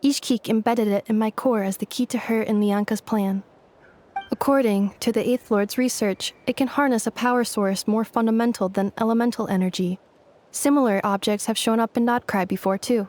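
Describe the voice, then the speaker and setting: Woman playing a calm android woman, formal and precise, slightly high voice, faint background hiss. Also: normal speed, close to an everyday voice. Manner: even, formal, machine-like reporting. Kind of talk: game dialogue.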